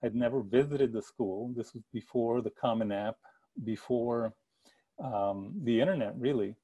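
A man's voice: medium pitch, normal speed, dark tone, husky, low-quality recording. A middle-aged man from the El Paso area, an author giving an earnest talk about his past over a video call from an attic room at home. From El Paso area, Texas. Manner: earnest, gestures a lot, frequent restarts. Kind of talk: personal storytelling. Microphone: video call with earbuds.

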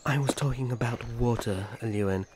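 A man playing a sensible, courteous elf prince in a fantasy roleplay, formal, measured and chiding. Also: mid-range voice, very noisy, lightly acted. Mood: dry reproving. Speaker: voice actor. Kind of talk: ASMR fantasy audio roleplay.

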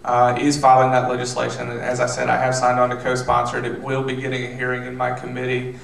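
A man in his thirties, a state legislator, answering questions on a panel with measured, filler-filled speech into a handheld mic. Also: medium pitch, monotone, vocal fry, a bit bright. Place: theater stage. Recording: roomy, distant, faint noise.

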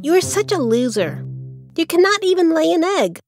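A woman voicing a bossy, boastful, scolding hen in an animated fairy tale. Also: very high-pitched, moderate pace, soft background music, exaggerated voice. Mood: scornful, bossy.

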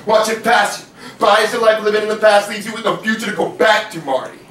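Young male spoken word poet, high, rhythmic rap delivery, caught by a far camera in an echoey room with faint hiss. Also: steady tone, fairly quick. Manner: rhythmic rapped verses between soft, rambling chat. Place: living room of a house, small audience on couches. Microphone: far camera, no mic.

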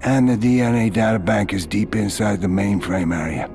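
Low-voiced streetwise prison fixer. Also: male voice, normal speed, vocal fry, a little mellow, faint music behind. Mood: businesslike. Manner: casual, laconic. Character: matter-of-fact, streetwise male prison fixer. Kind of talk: video game dialogue.

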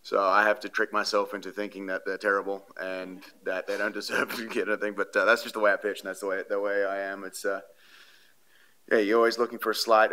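Man with a bright, flat voice. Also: lowish voice, clean tone. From Australia. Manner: rambling, many fillers and restarts, earnest. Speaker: baseball pitcher at a postgame press conference. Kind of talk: press conference answers. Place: press room before a sponsor backdrop. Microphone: podium microphones.

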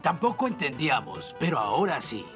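A man's voice: fairly high pitch, big pitch swings, fairly quick, music in the background. A man with a Latin American Spanish accent voicing a sincere, resolute villager in a cartoon dub. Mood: earnest, resolute. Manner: earnest, resolute. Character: sincere male villager finding his courage, earnest. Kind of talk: dubbed cartoon acting.

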